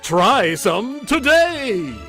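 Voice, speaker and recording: Man doing a vintage commercial announcer, high lively voice, emphatic sales patter, upbeat. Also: very slow, soft background music, full character voice. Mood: upbeat, selling. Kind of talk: 1940s radio commercial read.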